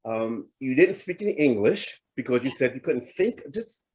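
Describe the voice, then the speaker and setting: A man's voice: medium pitch, animated, moderate pace, a little mellow. A man hosting an interview, introducing clips and reacting with frequent affirmations. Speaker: host. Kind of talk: casual interview talk.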